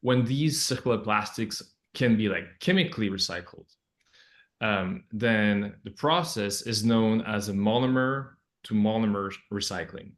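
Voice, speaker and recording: A man giving explanatory interview answers as a scientist, in halting, hesitant phrasing. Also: fairly low pitch. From Belgium. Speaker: project scientist, interview guest.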